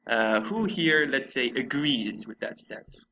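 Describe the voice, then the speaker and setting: A man's voice: mid-range voice, animated, slow pace, pushed and bright, a little hiss or hum. A man hosting a casual podcast chat, often playfully exasperated with his guests. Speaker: podcast host.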